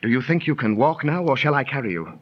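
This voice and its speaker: An actor playing an earnest Teutonic warrior in an old radio drama, speaking theatrical archaic lines in a husky voice. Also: male voice, medium pitch, expressive, fast talker, lightly acted. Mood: urgent, caring. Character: ancient Teutonic warrior, earnest, awed battle survivor. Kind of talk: 1930s radio drama acting. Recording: muffled, lo-fi 1930s radio recording.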